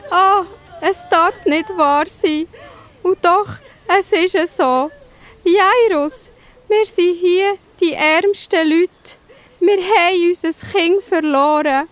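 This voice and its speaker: A woman playing an emotional, tearful mother in a children's Bible audio play, with drawn-out lamenting and a Swiss German accent. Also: very high-pitched, a bit bright, heavily acted. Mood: wailing grief. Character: wailing grief-stricken mother of a young girl.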